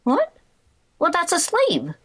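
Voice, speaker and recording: Woman playing a slow-talking, high-voiced frazzled housewife. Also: wildly swooping pitch, fairly bright tone, heavily acted. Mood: weary. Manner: dramatic exclamations, wry comebacks. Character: frazzled, stubborn young housewife knitting late, wry and weary. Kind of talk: 1940s radio comedy acting.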